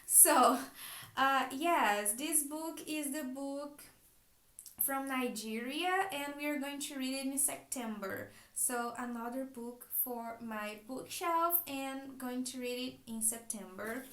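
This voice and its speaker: A woman's voice: high voice, takes it slowly, fairly bright tone. A Brazilian woman, a native Portuguese speaker talking in English with a Brazilian Portuguese accent, chats in a friendly way about her new books, thinking aloud as she goes. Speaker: book vlogger and private teacher. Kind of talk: casual book haul vlog. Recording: echoey room.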